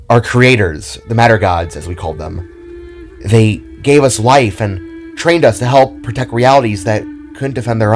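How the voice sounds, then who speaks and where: A man voicing a sorrowful last-surviving metal warrior in a story, with a halting, hesitant delivery. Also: lowish voice, a little mellow. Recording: background music behind the voice.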